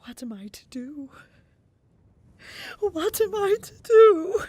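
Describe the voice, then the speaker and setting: A woman playing a frightened, despairing young gentlewoman in an audio drama, moving between breathy whispers and tearful cries. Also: very high-pitched, very animated, slow, dry recording, full character voice. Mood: desperate, fearful.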